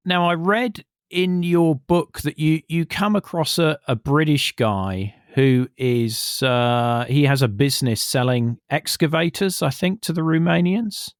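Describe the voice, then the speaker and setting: A man hosting an interview asks short, curious questions to keep the story moving. His voice sits a little higher than most men's. The recording is dry. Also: unhurried, hardly any pauses, no room sound. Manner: brief, inquisitive questions. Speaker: podcast host.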